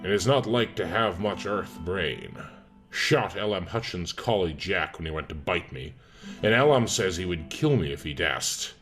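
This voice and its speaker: Guy doing a creepy country warlock kid reading his diary, deep and really animated, unschooled talk, music faintly behind. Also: moderate pace. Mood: ominous, matter-of-fact. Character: eerie precocious rustic boy sorcerer reading his diary. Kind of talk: dramatized diary reading in horror audiobook.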